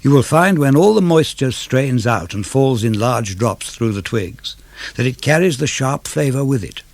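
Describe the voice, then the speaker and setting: A man reading poetry for radio in a measured, declamatory way, with rising and falling phrases. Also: mid-range voice, animated, darkish tone, no echo. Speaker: poetry reader. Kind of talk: dramatic radio verse reading.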